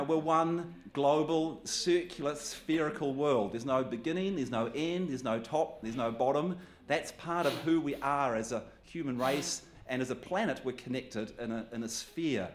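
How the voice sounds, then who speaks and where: A man with a New Zealand accent speaking to an audience in an easygoing, conversational talk. His pitch sits in a mid range for a man, and he drops in light, self-deprecating humour. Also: a little hiss or hum. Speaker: guest speaker. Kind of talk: public talk with slides.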